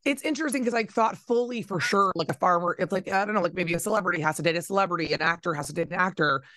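A woman's voice: on the low side, racing, bright, forceful tone, no echo. A woman, a radio host chatting through an interview with lots of fillers and restarts, on a studio mic over a video call. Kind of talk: casual interview with games.

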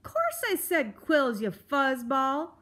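A woman reading aloud and doing a crotchety old porcupine who bellows insults at everyone, loud and scornful. The voice sits fairly high yet pushes hard, the words coming slowly and deliberately. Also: huge pitch swings, heavily acted. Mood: cranky, bellowing. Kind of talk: storybook read-aloud.

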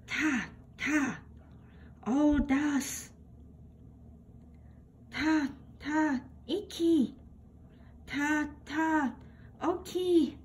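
Woman, high voice, children's read-aloud, deliberate expressive delivery, faint noise behind. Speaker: picture book reader. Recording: home recording with background noise.

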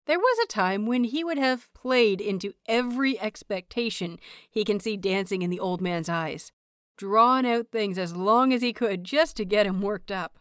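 A woman's voice: fairly high pitch, no room sound. Woman narrating fiction, dry close sound. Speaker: audiobook narrator of fan fiction. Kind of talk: expressive scripted story narration.

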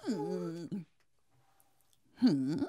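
A woman playing a scheming, sly wicked older woman in a children's cartoon, drawn-out and theatrical. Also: on the low side, expressive, dark tone. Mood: sly, falsely kind. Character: scheming stepmother and sly old witch.

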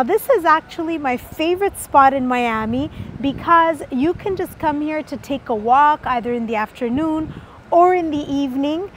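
A woman's voice: high-pitched, a little hiss or hum. A woman in her thirties talking to a handheld phone outdoors, chatty and upbeat as she explains things to viewers. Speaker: travel vlogger. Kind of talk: casual travel vlog to camera. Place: outdoors on city streets and a seaside park walkway. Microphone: phone held at arm's length.

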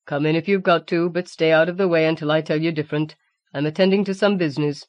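Audiobook role: irritable, rough-tongued father performed by a woman reader, sarcastic, blunt, no room sound. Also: deep voice, steady tone, fast talker, darkish tone, no room sound, lo-fi sound, lightly acted. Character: irritable, coarse working-class father.

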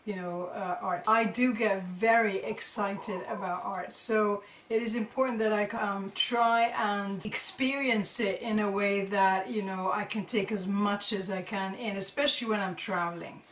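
A woman's voice: medium pitch, fairly even intonation, unhurried, hardly any pauses, a little hiss or hum. A middle-aged woman teaching informally to camera, thinking aloud and often rephrasing as she explains. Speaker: art teacher presenting tips to students. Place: indoor room with carved wooden panelling. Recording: roomy echo, distant microphone.

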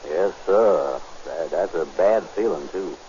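A very deep-voiced, easygoing folksy fellow. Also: male voice, dark tone. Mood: respectful, easygoing. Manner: folksy, ungrammatical, drawn-out. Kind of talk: 1930s radio comedy acting. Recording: muffled, hissy 1930s radio recording.

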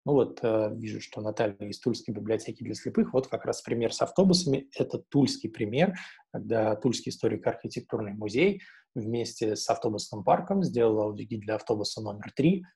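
Man, thirties, husky, mellow, informal webinar presenter, explaining with examples. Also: lowish voice, fairly quick. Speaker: webinar presenter for a travel audio guide platform. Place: indoor room at home or office, seated. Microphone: wired earphone headset on a video call.

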